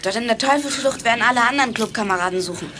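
A woman's voice: on the high side, rapid-fire, lightly acted. A woman playing a good-natured, skeptical chubby boy in a children's radio play. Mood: matter-of-fact. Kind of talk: 1980s German children's radio play acting. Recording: clear background noise, clicks.

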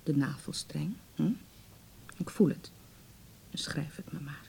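A woman's voice: low voice, slow pace, vocal fry, dark tone, whispered, exaggerated voice. An actress playing an anxious, devoted middle-aged mother in a naturalistic radio play, with a hesitant, sighing, pleading way of talking. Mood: earnest, tender.

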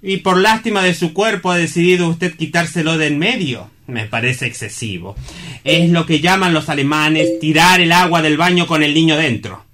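A man's voice: fairly high pitch, moderate pace, pushed and bright. An actor playing a courteous, wry man in a comic stage play, speaking formally and didactically as he reasons with someone.

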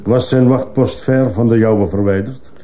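A man's voice: medium pitch, fairly even intonation, normal speed, warm tone, close to an everyday voice. A man playing a stern, probing judge who fires insistent questions in a radio courtroom drama. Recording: muffled, hissy old radio play recording.

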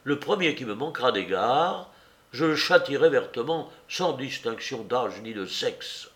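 A man's voice: mid-range voice, expressive, measured pace, a bit bright. A man reading aloud and voicing a young male clerk who speaks in a dry, offhand, dismissive way. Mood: scornful. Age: young adult.

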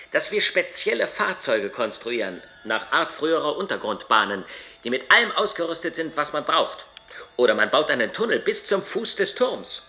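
A man's voice: a little high, bright, forceful tone, full character voice. An actor playing an optimistic, eloquent male tactician and loyal friend in a radio play, speaking persuasively. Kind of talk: German radio play acting.

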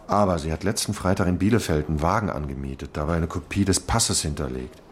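An actor playing a weary police chief inspector who thinks cases through aloud in a radio drama. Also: male voice, low voice, fast talker, slight background noise, natural voice. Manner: thoughtful, musing, talks it through.

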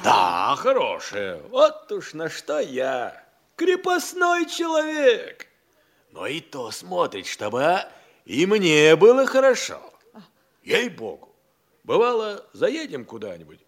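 Актёр в театральной манере радиоспектакля играет простоватого с виду, но хитрого крепостного слугу, почтительного и по-народному неторопливого. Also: male voice, fairly high pitch, huge pitch swings, slow, exaggerated voice. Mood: sly, folksy. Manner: folksy, drawling, deferential, hesitant and crafty. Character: sly, humble serf manservant, folksy and obsequious. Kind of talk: theatrical radio-play acting of classic comedy.

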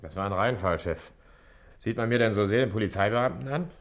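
Actor as a low-voiced, deferential young detective. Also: male voice, a little fast, noisy background, close to an everyday voice. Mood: rueful, reporting. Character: deferential, eager male junior police detective. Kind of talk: 1960s German radio play acting.

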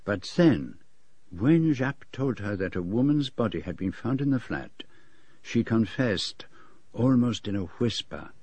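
An actor playing a fastidious Belgian detective with a French-speaking accent, precise and formal in a radio drama. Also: male voice, on the low side, slightly rough.

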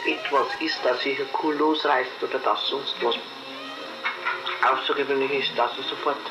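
A man's voice: mid-range voice, fairly even intonation, moderate pace, muffled recording. A man talking casually and spontaneously in conversation, in an echoey recording. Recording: echoey, with loud music.

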